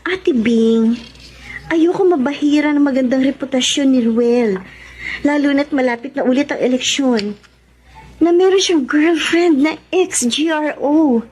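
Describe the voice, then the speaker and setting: A woman's voice: high-pitched, measured pace, darkish tone. A woman acting a tender, self-effacing young sweetheart in a Tagalog radio drama, speaking earnestly. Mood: earnest, resigned.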